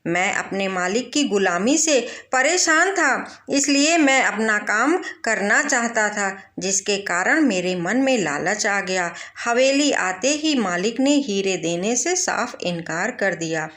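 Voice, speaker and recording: Woman voicing an aggrieved servant, slowly pleading. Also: fairly high pitch, animated, fairly bright tone. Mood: earnest complaint. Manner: deferential, explanatory. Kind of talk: storybook character voice.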